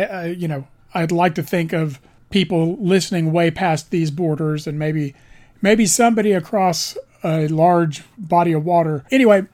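A man with a raspy voice from pollen, hosting a music show in a rambling, chatty, self-deprecating way. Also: high-pitched, a little mellow. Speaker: radio show host. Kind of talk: casual music show talk.

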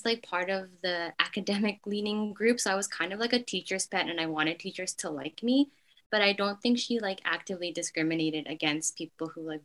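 A young woman from Canada answering interview questions in a casual, reflective way, full of "like" fillers. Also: lowish voice. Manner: frequent "like" fillers, soft and hesitant.

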